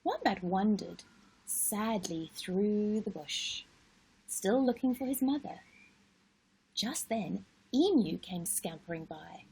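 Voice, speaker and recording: A woman reading a children's storybook expressively as host, with dramatic pauses and emphasis. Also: medium pitch, lively intonation, vocal fry, darkish tone, slightly rough, poor audio. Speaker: story-time host and reader.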